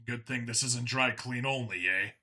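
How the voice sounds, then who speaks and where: A man's voice: medium pitch, moderate pace, pushed and bright, slightly rough. A man voicing a tough human undercover cop in a sci-fi audiobook, wry and casually threatening. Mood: joking.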